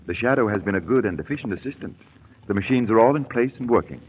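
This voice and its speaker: An actor playing a timid, stammering male inventor professor in an old radio drama, with a husky, lively voice. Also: lowish voice, fast talker. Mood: earnest. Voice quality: nervous, stammering. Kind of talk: 1940s radio acting. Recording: tinny old radio broadcast with long reverb.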